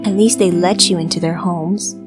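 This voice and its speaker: A woman's voice: fairly low pitch, fairly even intonation, normal speed, loud music behind the voice, natural voice. A woman voicing a proud, independent, bitter female cat in a visual novel, curt and haughty. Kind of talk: character acting in a visual novel.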